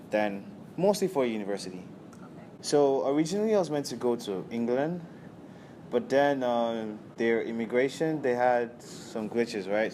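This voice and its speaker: A young man from Nigeria, a university student answering interview questions in a relaxed, casual way, often checking in with "right?". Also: mid-range voice, a little hiss or hum. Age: young adult. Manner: relaxed, tags sentences with "right?".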